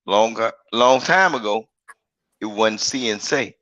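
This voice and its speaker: Guy with a big forceful voice going on and on in a stream chat, dry dull lo-fi sound. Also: lowish voice, animated, slow, no echo. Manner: rambling, emphatic, repeats himself. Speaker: guest. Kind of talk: casual live-stream chat.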